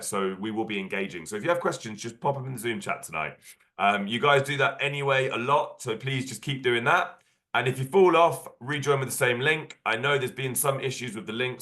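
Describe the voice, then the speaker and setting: A croaky man, hoarse from a cold, opens an online workshop over a video call. His voice stays bright and forward, and he talks casually, full of ums and uhs. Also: mid-range voice. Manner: casual, filler-heavy, upbeat. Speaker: workshop host.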